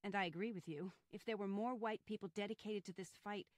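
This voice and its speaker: A woman's voice: mid-range voice, fast talker, dry recording, lightly acted. A resolute mother in a radio history drama, acted by a woman, speaks with earnest conviction. She is firm and persuasive, recorded close and dry. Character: resolute young mother set on joining civil rights marches. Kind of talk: old-time radio style dramatic acting.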